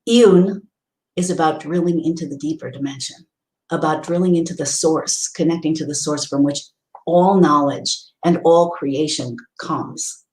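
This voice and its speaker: A woman's voice: deep voice, normal speed, a little mellow, roomy sound, low-quality recording. A woman giving a spiritual teaching talk, earnest and explanatory, often restarting her sentences. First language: English. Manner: earnest, explanatory, with many restarts and Hebrew readings.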